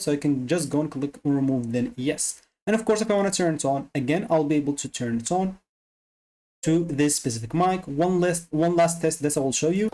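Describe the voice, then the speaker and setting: Mellow-voiced software tutorial presenter. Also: medium pitch. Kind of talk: casual step-by-step tutorial narration.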